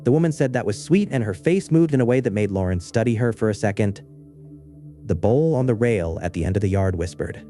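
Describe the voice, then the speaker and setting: A man with a low, mellow voice tells a creepy small-town story in scripted first person. His delivery is measured and understated, with soft music faintly underneath. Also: animated. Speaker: narrator.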